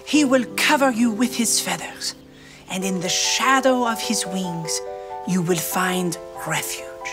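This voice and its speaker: A man in his thirties playing a gentle, excitable friar troubadour in a children's show, with expressive theatrical storytelling. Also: high-pitched, a little slow. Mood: reverent. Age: 30s. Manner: expressive storytelling, sprinkled with French. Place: studio set. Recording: music bed under the voice.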